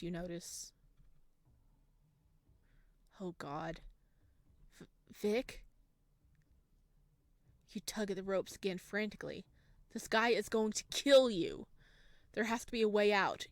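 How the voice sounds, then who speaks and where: Woman gamer narrator, expressive read, mid pitch, dry close mic. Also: no room sound. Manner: expressive, animated reader. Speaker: gaming YouTuber. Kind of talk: reading a visual novel aloud and reacting.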